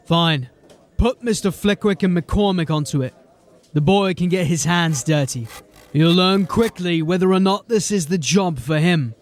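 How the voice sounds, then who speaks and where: A police sergeant in a dark fantasy audio drama, pitched high for a male voice. He is brisk and formal, giving orders with dry, clipped authority. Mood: brisk, businesslike.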